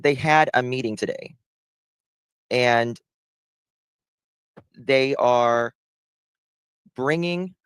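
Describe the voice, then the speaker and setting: A man's voice: medium pitch, clean tone, dry recording. A man giving casual livestream commentary on audio he plays, with a blunt manner.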